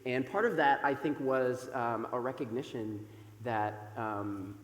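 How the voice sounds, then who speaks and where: A man in his thirties preaches to a congregation from the pulpit, his loud voice carried by a microphone. He talks in a chatty way, pausing on fillers. The sanctuary gives his voice a long, roomy echo. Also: mid-range voice. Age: 30s. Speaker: pastor. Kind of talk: sermon. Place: church sanctuary. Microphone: microphone over a PA system. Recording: echoey church hall with long reverb.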